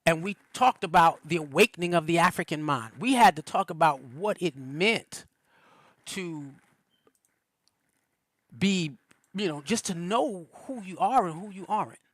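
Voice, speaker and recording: A man with a fairly high voice gives a spiritual teaching on a livestream. He talks loosely and conversationally, often restarting and filling gaps. The sound is close and dry, with no room echo. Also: animated, unhurried, a bit bright.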